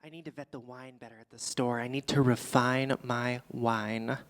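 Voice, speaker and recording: A performer playing a boozy, world-weary middle-aged female comic, doing a rambling, deadpan stand-up monologue live on a theatre stage. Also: mid-range voice, normal speed, big-room sound, natural voice. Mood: confessional, swaggering. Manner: rambling, deadpan, theatrical, swinging between asides and outbursts.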